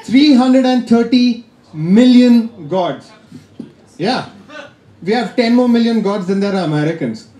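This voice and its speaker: A man's voice: extremely high pitch, expressive, a little slow, warm tone. A man from India with an Indian accent performing a stand-up comedy set, teasing the crowd with a dry delivery. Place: comedy club stage. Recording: roomy live club sound.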